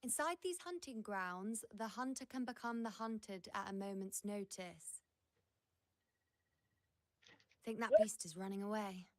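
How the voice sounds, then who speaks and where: A woman's voice: medium pitch, normal speed, a little mellow, lightly acted. A woman voicing a confident, shrewd and playful huntress in a video game, with a teasing, self-assured delivery. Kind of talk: scripted video game voice acting.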